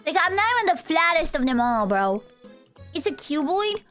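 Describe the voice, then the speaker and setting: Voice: high-pitched, sing-song, normal speed, pushed and bright, soft background music. A young adult VTuber streamer with a Romanian accent, chatting with viewers in a goofy, rambling, playful way. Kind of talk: casual live-stream chat.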